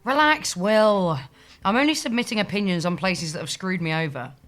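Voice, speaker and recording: A woman's voice: medium pitch, normal speed, a bit bright, soft background music, close to an everyday voice. A woman playing a lazy, shameless receptionist in an audio sitcom, with a flippant, dry manner.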